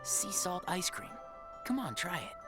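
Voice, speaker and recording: Earnest teenage boy, lively pitch. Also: measured pace, exaggerated voice. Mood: friendly. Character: naive, earnest, quietly curious teenage boy. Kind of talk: video game voice acting. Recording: game audio with music behind.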